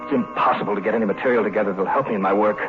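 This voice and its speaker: Haunted American husband, radio horror male actor. Very low, grave, measured; dull vintage recording. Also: fairly even intonation, close to an everyday voice. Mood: desperate. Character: frightened husband recording his dread in a diary. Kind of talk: 1940s-50s American radio horror acting. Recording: muffled old radio recording, music behind.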